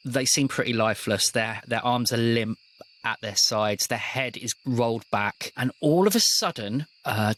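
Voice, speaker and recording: A man narrating a tabletop roleplaying game as game master, deliberate and given to dramatic pauses. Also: mid-range voice, fairly bright tone.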